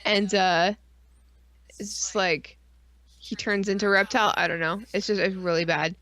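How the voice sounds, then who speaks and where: A woman's voice: medium pitch, fairly even intonation, moderate pace, a bit bright. A woman co-hosting a casual podcast stream, ranting about films with sarcastic mock outrage and plenty of swearing. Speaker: podcast co-host. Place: live online stream.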